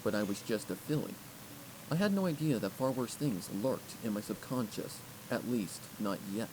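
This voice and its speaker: A man's voice: fairly low pitch, animated, moderate pace. A man reading first-person fiction aloud as an audiobook narrator. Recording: clearly noisy recording.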